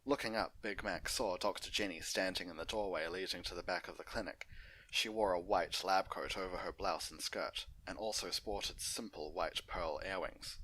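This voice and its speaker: Male narrator for fan fiction readings, lowish register with a crisp, forceful edge. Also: normal speed, low-quality recording. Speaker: story narrator.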